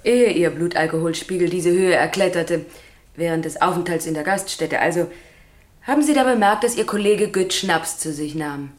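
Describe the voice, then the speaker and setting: An actress playing a stern, precise public prosecutor in a radio play, formal, pointed and lecturing. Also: female voice, lowish voice, moderate pace, close to an everyday voice. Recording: roomy courtroom echo.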